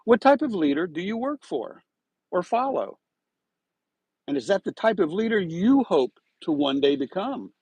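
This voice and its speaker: A man hosting a live stream from inside his parked car, giving a preachy, emphatic motivational talk with drawn-out pauses. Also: on the high side, darkish tone, breathy edge, no room sound. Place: parked car with window open on a drizzly day.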